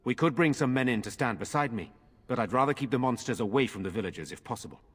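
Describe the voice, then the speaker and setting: An actor in a fantasy game playing an earnest, weary nobleman defending his village, speaking formally and gravely. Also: medium pitch, lively intonation, quick pace, long reverb tail. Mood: earnest, resolute.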